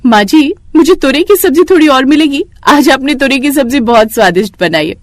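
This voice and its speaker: A woman's voice: sits high, animated, fairly quick, no echo, pitched above the performer's own voice. Young daughter-in-law for a moral tale, woman narrator acting: dramatic, grumbling, scheming, dry studio sound. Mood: sweetly flattering. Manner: dramatic, complaining. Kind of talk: Hindi moral story voice acting.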